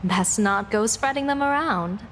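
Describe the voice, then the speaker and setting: A woman playing a composed female general and diviner in a video game, polite and measured with dry sarcasm. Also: mid-range voice, fairly bright tone, a little hiss or hum, close to an everyday voice. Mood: politely teasing.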